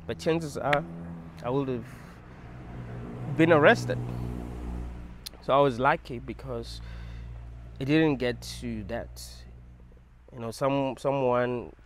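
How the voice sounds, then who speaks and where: A young man, telling a personal story to camera in an animated, emphatic way and swearing freely. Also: medium pitch, slight background noise. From South Africa. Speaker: vlogger.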